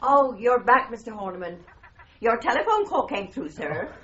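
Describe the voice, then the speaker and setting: An actress playing a helpful, deferential cottage housekeeper in a radio drama. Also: female voice, fairly high pitch, sing-song, moderate pace, highs cut off, slight background noise. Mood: eager to please. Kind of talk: 1980s British radio drama acting.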